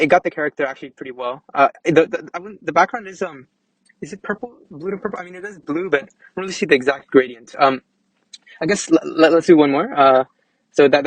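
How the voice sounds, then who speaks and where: Young male, rapid speech, mid pitch, casual tech demo, hesitant word repeats, dry recording. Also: no room sound, rough recording. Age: young adult. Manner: halting, hesitant, informal, repeats words. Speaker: app developer presenting a demo.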